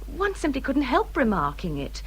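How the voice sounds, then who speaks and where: An actress playing a peevish, self-pitying young married gentlewoman in a period drama, with an English accent and a fretful, self-important manner. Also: sits high, wildly swooping pitch, normal speed, a little hiss or hum. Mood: fussy, insistent. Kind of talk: British period radio drama acting.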